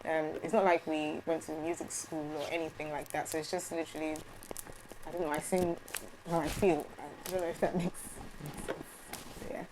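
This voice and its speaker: A young woman, a gospel singer, answering interview questions in a soft, hesitant way with many fillers. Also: sits low, little pitch movement, moderate pace, a crowd in the background, poor audio.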